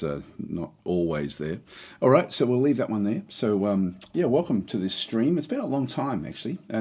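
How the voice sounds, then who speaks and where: A middle-aged man streaming a game, chatting casually with a slightly hoarse voice that is on the mend, rambling with many restarts. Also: low-pitched. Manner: rambling, halting, lots of restarts and fillers. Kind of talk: casual live-stream chat.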